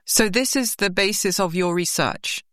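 A woman's voice: mid-range voice, moderate pace, a bit bright, dry recording, studio quality. A woman interviewing a guest, asking curious, probing questions with enthusiasm. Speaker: interviewer, host.

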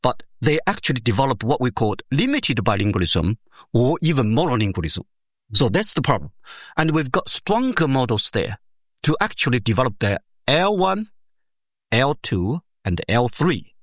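Animated man explaining his research. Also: mid-range voice, no room sound, clean studio sound. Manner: measured, explains step by step with frequent "uh" pauses; speaks English as a second language, with small grammar slips. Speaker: education researcher, interview guest. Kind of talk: explanatory academic interview answer.

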